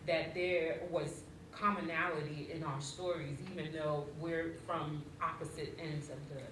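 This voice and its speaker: A woman from Haiti speaks with a light Haitian accent, telling her story on a panel with many ums and restarts. Her voice sits far from the mic in a very echoey room, with steady noise underneath. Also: low voice, a little slow. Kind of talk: personal story in a panel discussion.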